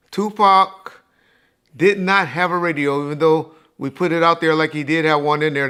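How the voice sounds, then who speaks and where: Higher-pitched American man, casual podcast host. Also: fairly bright tone, clear, resonant tone. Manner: informal, slangy, emphatic. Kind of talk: casual recollection and chat.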